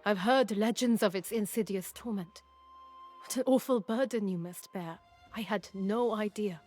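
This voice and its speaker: An actress playing a humble, sincere young queen in a fantasy video game, speaking in a measured, earnest way. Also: medium pitch, darkish tone, natural voice. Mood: concerned, earnest. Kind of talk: dramatic game cutscene acting.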